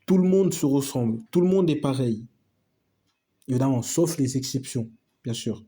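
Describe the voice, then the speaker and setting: A man's voice: mid-range voice, expressive, moderate pace, warm tone, breathy edge. A man giving a casual opinion monologue to his viewers, emphatic and repetitive, full of slang and asides to his friends. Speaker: video host.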